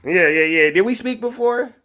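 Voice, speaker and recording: A man hosting a live call-in stream, talking in loud, hype-man banter that repeats itself. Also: high voice, lively intonation, moderate pace. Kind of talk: casual call-in chat.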